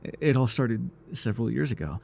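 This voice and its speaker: A man playing a devoted, obsessive, fawning cult follower in a theatrical, halting ASMR roleplay. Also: medium pitch, animated, a little mellow. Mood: wistful, subdued. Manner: theatrical, breathy sighs, nervous chuckles, halting. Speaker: voice actor.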